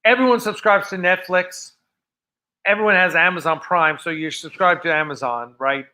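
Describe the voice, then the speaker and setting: An American man hosting a casual live fan show, chatting off the cuff in a rambling, halting way that often trails off. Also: high voice, pushed and bright, a little hiss or hum. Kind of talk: casual unscripted livestream chat.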